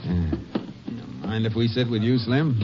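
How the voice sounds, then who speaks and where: An actor playing a shrewd, steady Texas Ranger in a 1950s radio drama, terse, probing and matter-of-fact. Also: male voice, on the low side, slow pace. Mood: probing, casual. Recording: muffled, noisy old radio recording.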